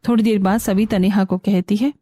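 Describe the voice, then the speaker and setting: A woman's voice: medium pitch, fairly even intonation, fairly quick, dark tone. A woman reading scripted narration for a cartoon family story.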